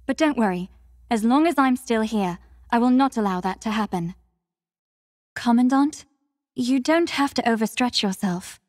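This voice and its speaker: An actress playing a devoted, composed young female warrior in a video game, speaking with formal, measured, soft courtesy. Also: a little high, expressive, no room sound, studio quality, in a large hall, lightly acted. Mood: gentle, attentive. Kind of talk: scripted mobile game character lines.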